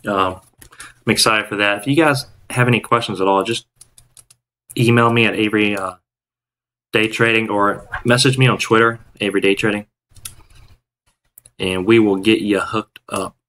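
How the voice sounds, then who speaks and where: A man's voice: fairly low pitch, steady tone, moderate pace, pauses often, sharp tone. A man in his thirties chatting casually on a day-trading live stream from home, rambling with restarts and fillers. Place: home room, indoors. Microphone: headset.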